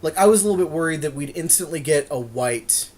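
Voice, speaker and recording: A man's voice: fairly high pitch, animated, quick pace, darkish tone. A man co-hosting a podcast, giving casual, agreeable and conversational commentary on a card game draft. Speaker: podcast co-host.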